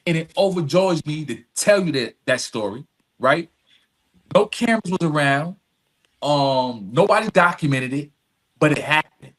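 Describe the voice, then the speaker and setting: A man's voice: fairly high pitch, lively intonation, normal speed, a bit bright, low-quality recording. A man speaking as a podcast guest in earnest, conversational storytelling, repeating phrases for emphasis and often checking in with his listener. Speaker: podcast guest, advocate and executive director.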